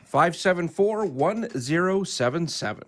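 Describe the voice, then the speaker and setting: A man's voice: fairly high pitch, expressive, unhurried. A man announcing details to listeners as a radio show's operations manager.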